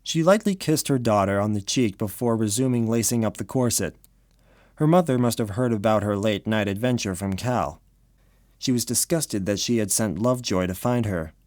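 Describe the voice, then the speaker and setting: A man's voice: lowish voice, normal speed, a little mellow, no echo, studio quality. A man reading scripted story narration for an audio drama.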